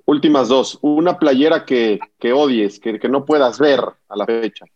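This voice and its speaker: Chatty podcast host. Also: male voice, mid-range voice, normal speed, darkish tone, no room sound, highs cut off. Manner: chatty, uses colloquial slang. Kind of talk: casual interview hosting.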